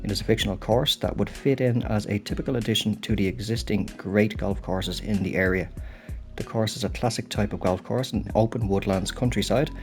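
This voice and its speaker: British guy commentating a golf game, lowish voice, kinda stuffed up and throaty. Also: steady tone, a little fast, faint music behind. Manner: chatty, apologetic, thinking aloud while playing. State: slightly throaty and stuffed up from a cold. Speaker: gaming channel host.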